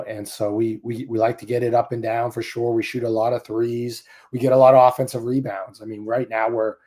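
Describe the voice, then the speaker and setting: A man's voice: mid-range voice, mellow. A man, a college basketball coach, talking as a guest in a casual interview, friendly and upbeat.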